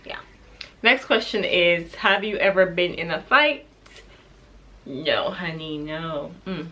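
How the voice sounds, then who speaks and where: A woman's voice: on the low side, lively intonation, takes it slowly, sharp tone. A woman from Jamaica with a Jamaican accent, candidly chatting through a question-and-answer vlog, with easy laughs. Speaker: vlogger.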